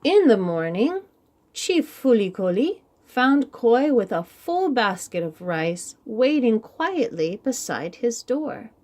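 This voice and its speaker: A woman reading a storybook aloud for young children in an animated, deliberate storytelling style. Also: a little high. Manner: animated, deliberate, with sound words.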